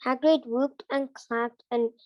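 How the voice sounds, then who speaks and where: A woman's voice: high-pitched, fairly even intonation, slow, no echo. A young girl reading a storybook aloud as a student in a reading club.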